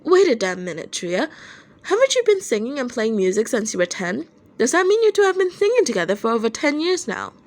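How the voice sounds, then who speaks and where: A woman's voice: a little high, big pitch swings, a little fast, pitched above the performer's own voice. A woman playing a brash, nosy young male bandmate, blunt and casual, in an audio story. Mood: incredulous.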